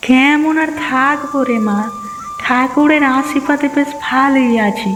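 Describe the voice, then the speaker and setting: A woman's voice: high voice, steady tone, unhurried, long reverb tail, music in the background, poor audio. A woman voicing a contented old village grandmother in a children's cartoon, affectionate and homely. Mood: warm, contented. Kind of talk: children's moral story cartoon dubbing.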